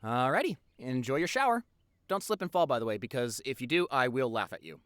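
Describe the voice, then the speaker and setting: A man's voice: medium pitch, fairly quick, smooth, no echo, studio quality, close to an everyday voice. A young man playing a playful, affectionate boyfriend who rambles chattily and teasingly in an intimate audio roleplay. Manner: teasing, animated, chatty, expressive.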